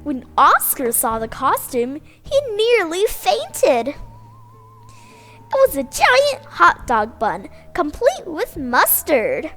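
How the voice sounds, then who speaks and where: Woman, children's story reader, sing-song, lively pitch, faint music underneath. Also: slow. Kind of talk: bedtime story read-aloud.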